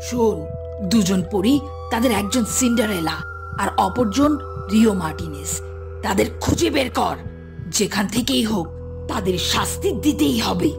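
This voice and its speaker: A woman's voice: medium pitch, expressive, measured pace, vocal fry, music in the background. A woman voicing a wrathful, imperious evil sorceress in a fairy-tale cartoon, barking demands. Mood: vengeful, commanding.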